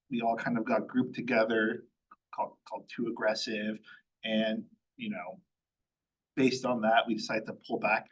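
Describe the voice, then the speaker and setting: A man's voice: mid-range voice, slightly rough, low-quality recording. A man, a chief of staff, giving a matter-of-fact spoken update at a public meeting, with frequent ums and uhs.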